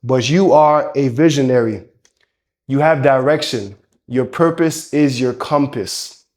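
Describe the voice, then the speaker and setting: A man's voice: medium pitch, a little slow, darkish tone. A man with a congested voice from a cold, coaching men in an emphatic, preachy talk that swears freely. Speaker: dating coach. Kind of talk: motivational talk to camera.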